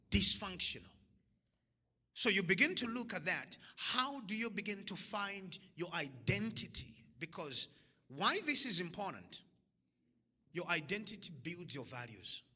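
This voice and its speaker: Bright-voiced Zambian man preaching in a hall. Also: high-pitched, big pitch swings, measured pace, breathy edge. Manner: emphatic, repeats key phrases, laughs at own jokes. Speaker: motivational speaker. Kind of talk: motivational talk to an audience. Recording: long hall reverb.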